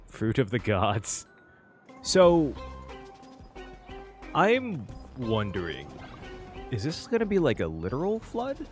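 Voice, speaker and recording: Man reacting to a game, wildly swinging pitch. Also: huge pitch swings, slow pace, pauses often, faint music behind. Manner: exclamatory, swears freely. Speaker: gaming reaction streamer. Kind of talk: casual reaction commentary over gameplay.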